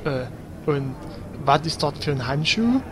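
A man's voice: fairly high pitch, expressive, unhurried, noisy background. A man playing a naive, eager, awkward fantasy hero who speaks hesitantly in a comedy audio drama.

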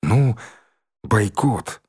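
A man's voice: fairly low pitch, animated, drawn-out words, darkish tone, breathy edge, full character voice. A man voicing an anxious, well-meaning schoolboy in an audiobook, flustered and pleading.

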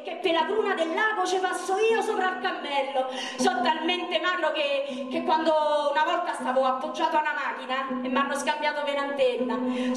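A woman on stage playing a very skinny, self-mocking young man from Rome, telling jokes in a declamatory, repetitive way with a Roman Italian accent. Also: very high-pitched. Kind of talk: theatrical stage monologue. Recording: echoey stage recording from far off, noisy.

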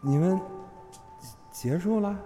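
A man playing a brisk, guarded, businesslike talent manager in a modern audio drama. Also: high voice, warm tone, reverberant, soft background music. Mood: brisk, terse.

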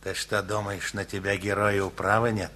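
A man's voice: on the low side, flat delivery, moderate pace. A man acting a blunt, blustering uncle with a hearty appetite in a radio play. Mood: scornful. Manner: loud, blunt, bullying.

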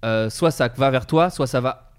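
A man hosting a podcast, telling stories in a casual, comedic and animated way and acting out bits of dialogue. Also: medium pitch, moderate pace, clear, resonant tone. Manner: animated, informal, acts out dialogue. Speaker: podcast host.

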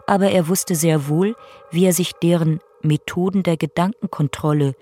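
A man reading scripted documentary narration in a sober, measured, matter-of-fact way. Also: fairly high pitch, fairly even intonation, warm tone.

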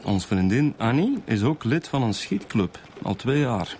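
An actor playing a matter-of-fact, wryly teasing police detective with a Flemish accent in a radio drama. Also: male voice, mid-range voice, very animated, measured pace, darkish tone, slight background noise. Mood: informative.

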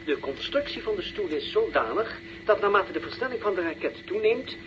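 An actor playing a solemn television reporter in a 1960s radio drama, giving formal, declamatory commentary as if heard through a broadcast set. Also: male voice, high voice, animated, fairly quick. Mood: solemn, urgent. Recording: dull, noisy sound of a TV set.

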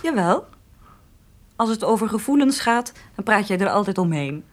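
A woman's voice: mid-range voice, very animated, moderate pace. Frank, teasing countrywoman. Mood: fond, teasing. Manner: direct, teasing. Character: frank, gently teasing countrywoman being courted. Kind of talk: naturalistic radio drama.

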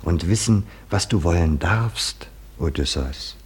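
An actor playing a solemn, nearly blind poet-seer in a 1950s German radio drama, admonishing a hero in a deliberate, oracular way. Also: male voice, low voice, lively intonation, slightly rough, full character voice. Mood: insistent, reproachful.

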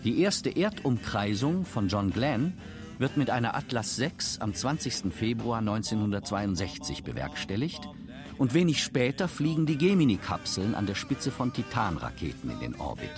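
Male documentary narrator with animated intonation, scripted delivery over a clear music bed. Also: lowish voice, moderate pace.

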